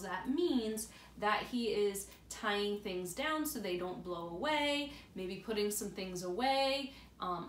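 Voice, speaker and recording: A woman in her thirties reads a storybook aloud to children as a teacher, pausing to explain things in simple words. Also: medium pitch, fairly bright tone. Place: indoor room beside a large glass door. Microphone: camera a few feet away. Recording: roomy, distant camera mic with faint noise.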